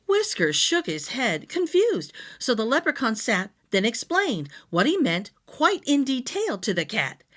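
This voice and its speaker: A woman reading a rhyming picture book aloud to young children, expressively, with deliberate dramatic phrasing. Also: a little high, wildly swooping pitch, fairly bright tone. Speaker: storytime reader.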